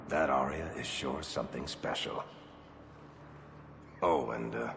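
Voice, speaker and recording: A man voicing a smug, mocking mercenary gang boss in a video game, with a gravelly voice. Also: very deep voice, background noise, exaggerated voice. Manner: mocking, cocky. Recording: music under the dialogue.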